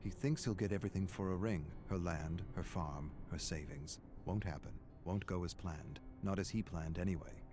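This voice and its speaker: An actor playing a grim, weary archivist in a video game, narrating dark tales in an ominous, deliberate manner. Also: male voice, sits low, background noise, lightly acted. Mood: darkly scornful. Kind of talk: scripted dramatic storytelling narration. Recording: music behind the voice.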